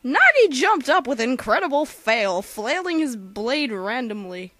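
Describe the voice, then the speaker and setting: A woman's voice: sits high, very animated, measured pace, fairly bright tone, no room sound. A woman co-narrating a fan-made comedy spoof, telling the story in a slangy, mock-dramatic way. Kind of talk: comic storytelling narration of an abridged game parody.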